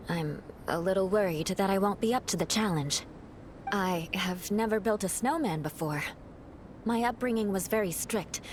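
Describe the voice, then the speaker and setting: Woman voicing a cool noble knight lady, formal and a bit aloof, mid-pitched voice, faint hiss behind. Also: lightly acted. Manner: formal, composed, slightly aloof. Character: reserved aristocratic knight captain woman.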